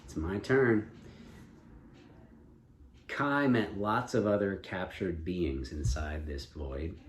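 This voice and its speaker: A man improvising a story as a storyteller, speaking in a deliberate, inquisitive and encouraging manner. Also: low voice. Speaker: storyteller and co-host with a child. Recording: echoey room, faint background noise.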